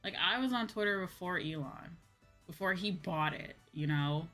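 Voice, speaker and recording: A woman's voice: lowish voice, normal speed, a bit bright, soft background music. A woman streamer chatting casually to her livestream, with an exasperated, venting manner and some casual swearing. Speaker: VTuber streamer, host.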